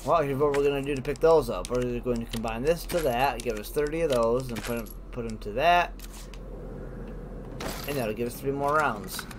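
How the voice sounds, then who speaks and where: A man's voice: medium pitch, moderate pace. A man giving casual let's play commentary as a gaming host, informally thinking aloud. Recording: clearly noisy, game ambience behind.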